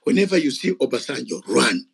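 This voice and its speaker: A man's voice: mid-range voice, big pitch swings, a little fast, vocal fry, breathy edge. Fiery older man with Ugandan English. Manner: fiery, emphatic, repeats words for force. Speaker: guest speaker at a gathering. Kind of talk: impassioned unscripted speech. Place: gathering of activists.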